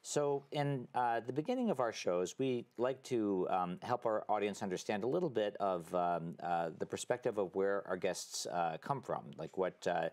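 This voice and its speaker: A man hosting a talk show, asking questions conversationally in halting, hesitant phrases. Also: fairly low pitch, a little mellow. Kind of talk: conversational interview.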